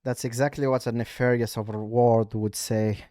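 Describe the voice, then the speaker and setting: A man with a Moroccan accent, live-streaming a casual, teasing chat, halting now and then with fillers. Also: medium pitch, little pitch movement, mellow, no echo, studio quality.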